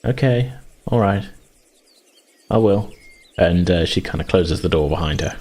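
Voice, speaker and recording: Deep-voiced British game master. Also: male voice, animated, measured pace, darkish tone. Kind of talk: improvised narration of a tabletop game.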